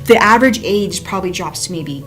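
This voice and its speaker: A woman's voice: mid-range voice, expressive, a little fast. A woman in her early twenties hosting a casual college radio chat in a slangy, jokey, exclamatory way. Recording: music behind the voice. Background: music.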